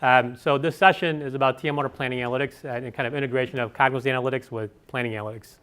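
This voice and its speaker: A man with a Chicago accent giving a fast, filler-heavy conference presentation and asking the audience questions. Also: medium pitch, fairly even intonation, a bit bright, clear, resonant tone. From Chicago area. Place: conference session room.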